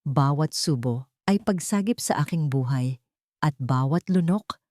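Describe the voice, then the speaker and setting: Casting: female audio drama narrator, deep register, close dry sound, emotive scripted first-person storytelling. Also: animated, measured pace, darkish tone, dry recording.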